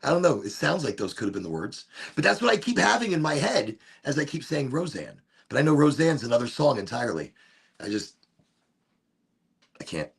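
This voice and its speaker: Gravelly-voiced man rambling off topic. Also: big pitch swings, a little fast, fairly bright tone. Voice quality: deep, gravelly voice. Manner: rambling, wanders off topic, breaks into off-key singing. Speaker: host of a smoke session. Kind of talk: rambling casual monologue.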